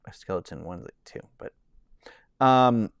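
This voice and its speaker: A man in his thirties hosting a casual live stream from home, rambling with lots of fillers and restarts. He describes his own voice as high-pitched. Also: normal speed, clean tone, dry recording, highs cut off. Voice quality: says he has a high-pitched voice.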